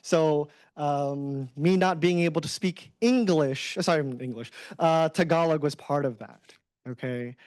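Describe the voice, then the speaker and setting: A man raised in the Philippines who speaks with an American accent, giving a casual presentation to students, halting and full of fillers, often checking in with "right?". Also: fairly high pitch, darkish tone, dry recording.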